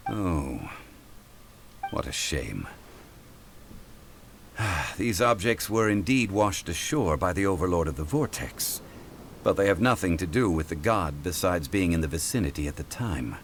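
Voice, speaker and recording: An actor playing a composed, knowledgeable relic appraiser in a video game, speaking in a measured, articulate way. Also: male voice, low voice, creaky, slight background noise, full character voice. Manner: measured, articulate, matter-of-fact. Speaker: game character.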